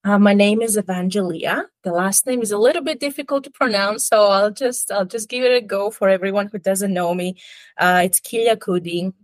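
A woman from Greece, a native Greek speaker, talking earnestly and gently as a podcast guest about her healing work. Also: mid-range voice, brisk, dry recording. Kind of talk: interview conversation.

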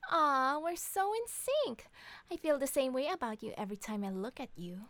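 Woman playing a bright, bossy, sarcastic wife. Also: high-pitched, sing-song, normal speed, dry recording, full character voice. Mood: playful sarcasm. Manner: sarcastic, bantering, blunt. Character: sharp-tongued, bossy, teasing wife and young mother. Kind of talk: scripted audio comedy drama reading.

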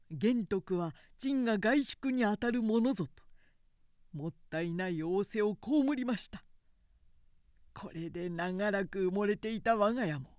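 A woman's voice: medium pitch, a little mellow, no echo, clean studio sound, close to an everyday voice. A woman voicing a devoted grown son, a noble warlord who speaks formally and earnestly in an audiobook epic. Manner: formal, respectful, earnest declamation.

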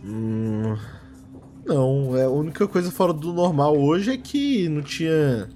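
A man's voice: on the high side, lively intonation, measured pace, warm tone, faint music behind. Man playing a nervous, stammering office worker witness. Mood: anxious, hesitant. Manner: hesitant, stammering, repeats words. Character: nervous male office worker, witness under police questioning.

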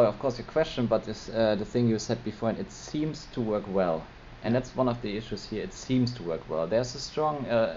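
A middle-aged German-accented expert, native in German, dialing into a podcast on a muffled, faintly noisy link to explain things point by point. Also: male voice, fairly low pitch, a little mellow. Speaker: interviewed data privacy law expert. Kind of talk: explanatory interview answer. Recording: dull lo-fi link, faint noise.

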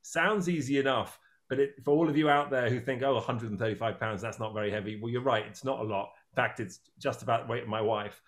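A man's voice: mid-range voice, fast talker. A man in his fifties hosting an interview, talking in a rambling, self-deprecating way with many restarts. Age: 50s. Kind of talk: casual interview chat.

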